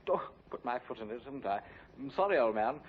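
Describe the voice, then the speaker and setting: A man's voice: medium pitch, animated, quick pace, husky. An actor playing a jaunty upper-class male party guest, speaking chummy and teasing in a 1950s radio drama. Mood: breezy teasing. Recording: dull old 1950s radio recording.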